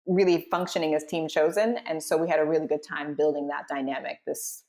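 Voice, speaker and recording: A woman's voice: sits low, fairly even intonation, fairly quick, warm tone. A woman hosting as a voice director, explaining scenes and reading out stage directions. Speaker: host and voice director.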